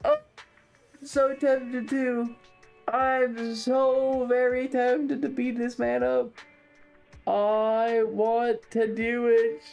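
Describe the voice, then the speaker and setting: Measured, sardonic streamer over game music. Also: mid-range voice, flat delivery, gravelly, a little mellow, lo-fi sound. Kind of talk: casual livestream chat and game commentary.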